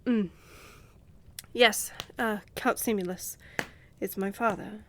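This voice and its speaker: A woman plays a commanding vampire countess for a fantasy audio drama. She speaks slowly and regally, coolly indulgent, her breathy voice often sinking toward a whisper. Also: mid-range voice, fairly even intonation, a little hiss or hum, natural voice. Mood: composed, gracious. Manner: measured, regal, condescendingly affectionate.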